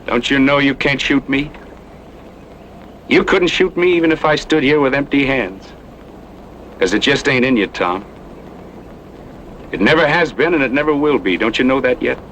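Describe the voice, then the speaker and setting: A man's voice: medium pitch, normal speed, pauses often, a bit bright, natural voice. Cocky Western gunfighter, male actor, easygoing drawl, folksy and fatalistic, slightly noisy radio drama sound. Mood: taunting, incredulous. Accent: American Western drawl.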